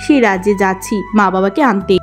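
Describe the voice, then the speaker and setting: A woman voicing a gentle, forgiving young princess in a cartoon fairy tale. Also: mid-range voice, fast talker, faint music behind, lightly acted. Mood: earnest, firm.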